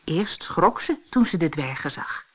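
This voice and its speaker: A woman narrating a scripted fairy tale audiobook for children in an expressive, deliberate way. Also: sits low, breathy edge. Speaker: storyteller.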